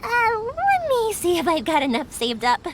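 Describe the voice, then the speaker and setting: A woman's voice: very high voice, wildly swooping pitch, normal speed, a little hiss or hum, heavily acted. A woman voicing an upbeat, encouraging young shopkeeper with a big-sister way of talking. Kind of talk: game voice acting.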